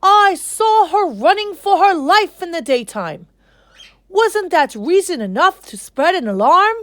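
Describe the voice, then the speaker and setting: A woman as a loud, protesting crow. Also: very high voice, sing-song, unhurried, no room sound, pitched above the performer's own voice, exaggerated voice. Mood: defensive. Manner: insistent, protesting. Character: big crow, loud, alarmed and defensive.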